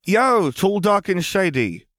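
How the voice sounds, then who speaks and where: A man's voice: high-pitched, very animated, slow pace, a bit bright, no room sound, studio quality, pitched above the performer's own voice, full character voice. A man voicing a brash, precocious small girl in a storybook reading, talking in a wordy, dramatic, childish yet adult way.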